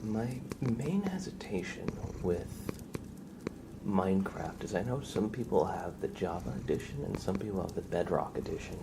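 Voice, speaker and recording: Soft spoken asmr streamer guy, creaky voice that swings up and down, playful, noisy background. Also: sits low, very animated, normal speed. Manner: playful, mock-serious, doting talk about and to a pet. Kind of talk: soft-spoken ASMR livestream chat, often dropping into whispers.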